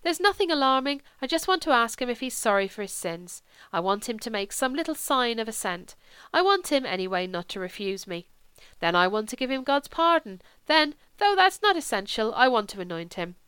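Woman voicing a genial coaxing priest, raised pitch. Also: sing-song, brisk, hardly any pauses, fairly bright tone, clear, resonant tone, no room sound. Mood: gently coaxing. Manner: plain-spoken, reassuring, persuasive. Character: genial, simple older male priest, gently coaxing. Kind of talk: audiobook character dialogue.

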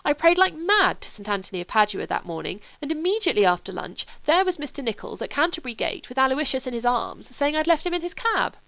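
A woman reading an audiobook, voicing a playful, petulant young aristocratic Englishman in a high, breezy, teasing voice. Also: sing-song, fast, gravelly, few pauses, a bit bright, heavily acted. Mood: eager.

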